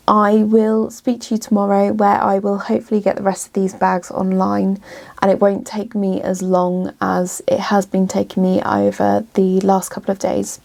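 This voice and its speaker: A woman's voice: medium pitch, vocal fry, a little mellow. A woman vlogging casually from her home craft studio, talking through her work with plenty of ums and restarts, a little bunged up. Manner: chatty, many ums and restarts. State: slightly bunged up from a runny nose. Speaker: small business vlogger. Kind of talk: casual vlog chat to camera.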